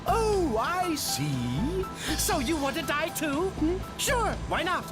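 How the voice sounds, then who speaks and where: An actor voicing a loud, blustering video game villain who taunts and bullies in a theatrical way. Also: high voice, sing-song, slow, exaggerated voice. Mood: menacing taunting. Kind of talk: video game cutscene voice acting. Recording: game audio with loud music. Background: loud game music.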